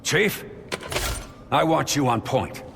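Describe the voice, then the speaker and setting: A man playing a resolute older naval captain in a sci-fi game cutscene, with an authoritative, measured way of speaking. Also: a little high, expressive, gravelly, long reverb tail, noisy background, full character voice. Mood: rousing, commanding. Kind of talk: cinematic sci-fi game acting.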